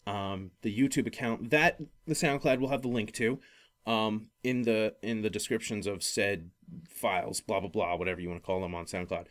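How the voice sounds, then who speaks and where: A man hosting a solo podcast, talking casually in a rambling, halting way and often correcting himself. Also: medium pitch. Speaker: podcast host.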